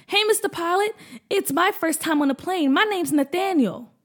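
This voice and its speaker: A woman's voice: extremely high pitch, normal speed, voice pushed up. A woman voicing a young boy on his first plane ride, nervous and then amazed. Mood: eager.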